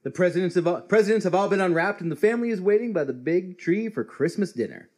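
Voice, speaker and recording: An actor playing a cheery American pitchman in a parody old-time radio advertisement. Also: lively intonation, fast, a little mellow, breathy edge. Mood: salesy.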